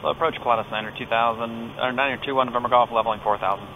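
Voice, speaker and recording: Casting: male American pilot, mid-pitched, casual flight vlogger with clipped radio calls, clear engine noise behind. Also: rapid-fire, lo-fi sound. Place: cockpit of a small turboprop plane in flight. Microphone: aviation headset. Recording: steady aircraft drone behind headset audio.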